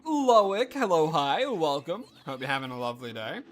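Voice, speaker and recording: A man's voice: a little high, expressive, normal speed. Stuffy-nosed male streamer. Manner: chatty, greets viewers, muses aloud with fillers. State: stuffy and nasal from allergies. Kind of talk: casual live-stream chat while gaming.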